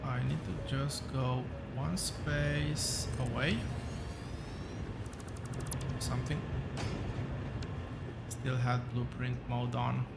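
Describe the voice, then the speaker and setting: A man with a rough voice, thinking aloud as he hosts a relaxed gameplay commentary, with many fillers and pauses. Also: medium pitch, very noisy. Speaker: gaming channel host.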